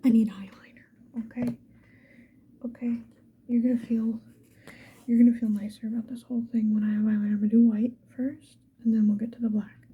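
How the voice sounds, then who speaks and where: A woman whispering casually and playfully to the viewer in a chatty ASMR get-ready-with-me style, mostly in a breathy, unvoiced whisper. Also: on the high side, level tone, a little fast, narrow, tinny audio. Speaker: ASMR video creator.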